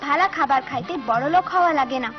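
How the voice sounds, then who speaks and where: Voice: high voice, fast talker, natural voice. A performer playing a cheerful, eager cartoon schoolgirl who speaks in a rural Bangladeshi dialect. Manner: eager, polite but outspoken. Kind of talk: cartoon voice acting. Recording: dull lo-fi cartoon audio with music.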